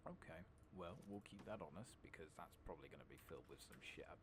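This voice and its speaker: A man doing soft-spoken ASMR game commentary, murmuring casually to himself, swearing mildly and slipping in and out of whispers. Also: a bass voice, fast. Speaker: gaming streamer. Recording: clearly noisy background with clicking.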